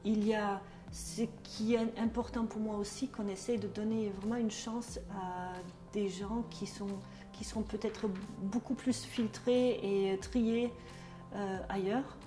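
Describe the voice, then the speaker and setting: A woman's voice: mid-range voice, fairly even intonation, takes it slowly, roomy sound, over music. A middle-aged woman from Germany speaking with a German accent, talking hesitantly with many fillers and restarts in a testimonial interview as a trainer. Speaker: trainer at a social work school.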